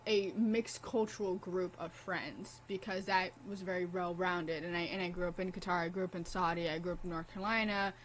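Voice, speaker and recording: A woman's voice: on the low side, a bit bright, slight background noise, rough recording. A young woman streaming a game in casual commentary, blunt, profane and impassioned as she talks.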